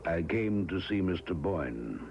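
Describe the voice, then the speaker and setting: An actor playing a weary, mysterious stranger in an old radio drama, speaking in slow, sparse, deliberate phrases. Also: male voice, low voice, lively intonation, a little mellow, muffled recording, soft background music. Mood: weary, ominous. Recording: band-limited old radio, faint noise.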